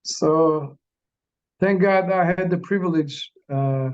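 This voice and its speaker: A middle-aged Israeli man, a native Hebrew speaker, guest in an interview, speaking haltingly in simple phrases. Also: on the high side, clear, resonant tone. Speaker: interview guest, bereaved father. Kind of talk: casual conversation. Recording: dull lo-fi sound.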